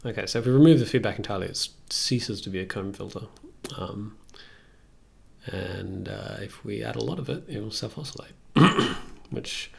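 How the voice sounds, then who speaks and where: A man in his thirties casually thinking aloud as a livestreamer, dry and self-deprecating, with a gravelly, husky voice. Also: on the low side, normal speed. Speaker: live streamer.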